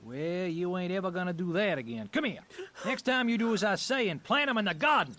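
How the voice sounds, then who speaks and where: An actor playing a rough but wily Appalachian moonshiner father in a radio drama, with drawling, ungrammatical country speech. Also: high voice, animated, fairly quick, pushed and bright. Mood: stern. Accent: American Appalachian.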